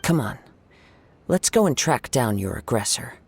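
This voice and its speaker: An actor playing a calm, courteous young samurai swordsman in a video game, speaking in a measured, polite, formal way. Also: mid-range voice, faint music behind. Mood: composed, courteous.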